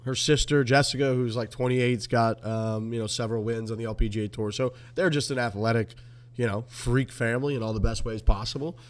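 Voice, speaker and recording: A man's voice: mid-range voice, steady tone, fairly quick. A man co-hosting a golf podcast, chatting loosely and swearing freely. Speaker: podcast co-host. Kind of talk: casual chat.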